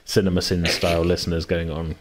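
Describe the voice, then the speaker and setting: A man's voice: sits low, level tone, fairly quick, background noise. A man hosting a casual podcast chat, rambling, often correcting himself and chuckling. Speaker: podcast host and game master.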